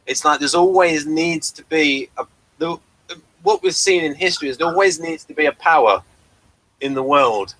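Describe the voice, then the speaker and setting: A man's voice: on the high side, moderate pace, fairly bright tone, low-quality recording. A man in casual discussion, explaining his opinions and repeating himself as he forms each point. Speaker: podcast reviewer and discussion panelist.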